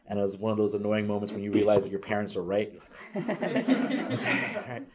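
A man's voice: fairly low pitch, big pitch swings, fairly quick, a little mellow, slight background noise. A middle-aged man who coaches ethnic studies teachers, speaking earnestly at a panel table in a lecture room, with frequent restarts and fillers. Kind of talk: panel talk to students. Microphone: far camera.

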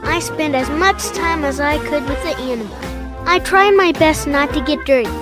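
A woman's voice: high voice, normal speed, music in the background, lightly acted. A woman playing an eager, cheeky young boy in a radio drama. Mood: chipper. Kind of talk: radio drama acting and narration.

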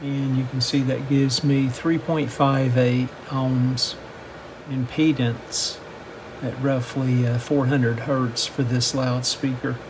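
A middle-aged man giving a hands-on technical demonstration in a measured, matter-of-fact, step-by-step manner. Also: medium pitch. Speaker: host of a radio repair channel. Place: indoor workbench. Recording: steady air-conditioning-like background hum.

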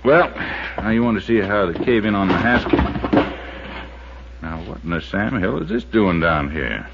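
Low-voiced folksy fishing buddy. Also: male voice, animated, normal speed, vocal fry. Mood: matter-of-fact, wary. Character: folksy, easygoing male fishing buddy, cautious and practical. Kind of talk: 1950s radio drama acting. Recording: dull, very noisy 1950s radio recording.

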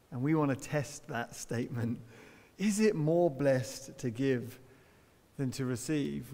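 A man's voice: on the high side, a little mellow. A middle-aged man preaching a sermon from a church hall stage, telling stories in a conversational way with self-deprecating laughs. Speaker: pastor.

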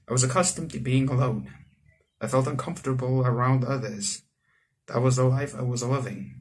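A man in his late teens hosting a let's play, reading visual novel text aloud and breaking into animated asides. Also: medium pitch, breathy edge. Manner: animated asides, exclaiming between read passages. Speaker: gaming channel host. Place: small room indoors at home.

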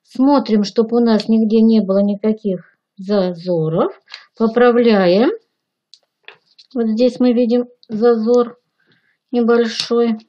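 A woman, a teacher giving step-by-step instructions in deliberate, short, simple phrases. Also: on the high side, fairly even intonation, dark tone, highs cut off. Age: 60s. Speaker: children's art and animation teacher. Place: indoor room, plain wall.

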